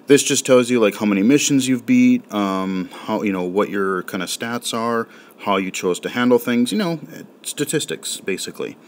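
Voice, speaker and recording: A man gives a relaxed, rambling demonstration of an app, full of fillers and restarts. His voice sits on the low side. He sounds informal and unscripted. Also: moderate pace, few pauses, slight background noise. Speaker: game reviewer. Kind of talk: casual spoken app walkthrough.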